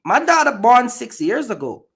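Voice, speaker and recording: A man's voice: very high-pitched, very animated, normal speed, gravelly, fairly bright tone. A man from Jamaica with a Jamaican accent, hosting a casual podcast chat and making his points in a blunt, emphatic, repetitive way. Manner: emphatic, blunt, repeats himself. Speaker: channel host.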